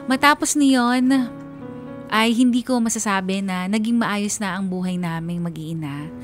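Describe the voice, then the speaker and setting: A woman's voice: medium pitch, natural voice. A woman playing a regretful, resilient mother who narrates her life story on radio in an earnest, confessional way. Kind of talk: dramatic first-person radio narration.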